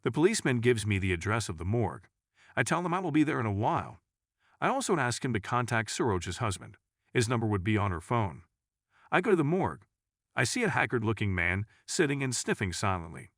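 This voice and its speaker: A man's voice: mid-range voice, very animated, a little fast, fairly bright tone, dry recording, studio quality. A man narrating a scripted first-person crime story in a dramatic storytelling manner. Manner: dramatic storytelling, acts out dialogue.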